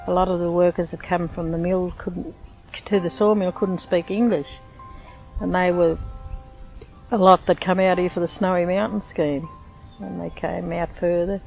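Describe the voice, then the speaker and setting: An older Australian woman with a fairly low voice reminisces in a chatty, easy way. She drops in "you know" as she goes. Light music plays faintly behind her. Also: fairly even intonation, normal speed, vocal fry, a little mellow, rough recording. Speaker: interviewee, local resident. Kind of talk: casual reminiscing.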